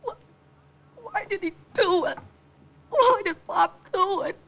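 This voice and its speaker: A woman's voice: extremely high pitch, animated, takes it slowly, slight background noise, exaggerated voice. A performer playing a distraught, bitterly disillusioned young boy who cries out in anguish in a radio drama. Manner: anguished, crying out. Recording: muffled old 1950 radio broadcast.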